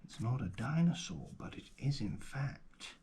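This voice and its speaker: A man speaking softly and gently in an ASMR roleplay as a guide, often slipping into a breathy whisper, murmuring without hurry. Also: medium pitch, sing-song, a little slow, noisy background. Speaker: host playing a paleontologist guide. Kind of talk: ASMR roleplay, soft narration of a fossil dig.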